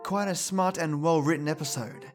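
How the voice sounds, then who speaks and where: A man's voice: on the high side, moderate pace, faint music behind. A man hosting a podcast with casual, chummy commentary addressed to his listeners. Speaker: podcast host.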